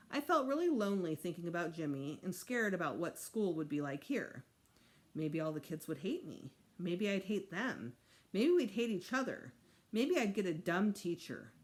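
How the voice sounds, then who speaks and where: A woman teacher reading a children's book aloud in an expressive narrating style. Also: on the low side.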